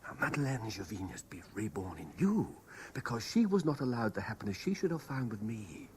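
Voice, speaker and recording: A man's voice: on the low side, sing-song, fairly quick, a little mellow. An actor playing an obsessed, domineering man in a radio drama, insistent and intense in his delivery. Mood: urgent, obsessive. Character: obsessed, domineering man haunted by a dead lover. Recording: noisy 1970s radio broadcast.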